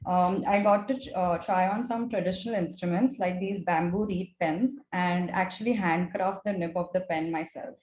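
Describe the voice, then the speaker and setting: A woman's voice: on the low side, steady tone, normal speed, few pauses, a little mellow. A young woman with an Indian accent, a native Gujarati speaker, giving a prepared presentation as a student. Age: young adult. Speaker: mentorship student presenting her project. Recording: roomy echo with clear background noise.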